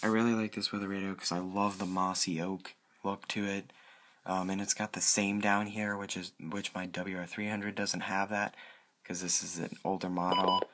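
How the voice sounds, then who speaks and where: Deep-voiced man in a casual show-and-tell. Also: level tone, a bit bright. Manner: halting, self-correcting. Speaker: hobbyist presenter. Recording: clearly noisy amateur home recording.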